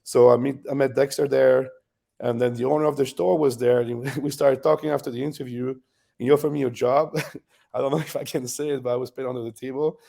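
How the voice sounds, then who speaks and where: A man with a French accent recounts his story as an interview guest. His voice is gravelly and mellow, with a steady middle pitch. He talks in an easy, relaxed way and laughs often. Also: brisk. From France. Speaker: guest bodybuilder.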